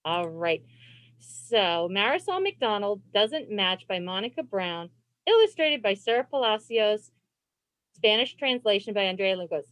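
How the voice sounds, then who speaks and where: A woman reading a children's storybook aloud as host, phrasing expressively and deliberately for young listeners on a video call. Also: mid-range voice, big pitch swings, a bit bright, no room sound. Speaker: librarian and read-aloud host.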